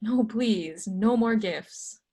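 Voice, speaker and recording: A woman reading the part of a cautious older male physician and astronomer, hesitant and gently apologetic, in an amateur readers theatre. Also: mid-range voice, drawn-out words, breathy edge, full character voice. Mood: apologetic, reluctant.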